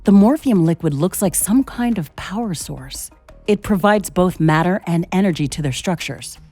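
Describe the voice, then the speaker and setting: Earnest, low-pitched female scientist-commando. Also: animated, moderate pace, warm tone, faint music behind. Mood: curious, decisive. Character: curious, determined female scientist-commando exploring an alien world. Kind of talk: scripted video game dialogue.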